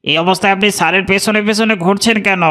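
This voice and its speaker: A man playing a snobbish, haughty restaurant owner in a cartoon, a figure who talks down to the poor and flatters the important. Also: high voice, very fast. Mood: scornful, irritated. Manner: haughty, scolding. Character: snobbish, rude male restaurant owner, fawning to important customers. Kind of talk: cartoon voice acting.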